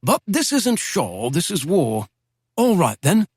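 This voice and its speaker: A man reads as a chatty older English professor, witty and exact, his voice lifting into a fairly high register and leaping about with animated inflection. The sound is close and dry, with no room around it. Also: slow, husky, no room sound, full character voice. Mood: genial. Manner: lively, playful, precise diction. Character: genial older male Oxford professor, witty and talkative.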